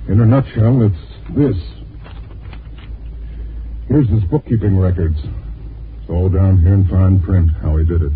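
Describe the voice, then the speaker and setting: An actor with an American accent playing a shady, insistent private detective in a 1950s radio drama. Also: male voice, deep voice, unhurried, long pauses. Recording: muffled, noisy old 1950s radio recording.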